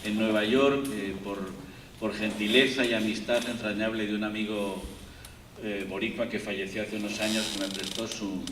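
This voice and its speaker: Spanish-accented man, low voice, rambling lecture, distant mic, roomy hall, faint room noise. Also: flat delivery, normal speed. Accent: Spanish (Spain). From Spain. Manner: rambling, digressive, many fillers and restarts. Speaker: guest lecturer. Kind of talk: university lecture.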